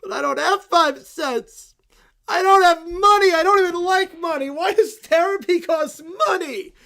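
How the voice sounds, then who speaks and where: A man voicing a group of entitled, whining cartoon kids who complain dramatically and shout. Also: extremely high pitch, expressive, measured pace, vocal fry, heavily acted. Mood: whiny.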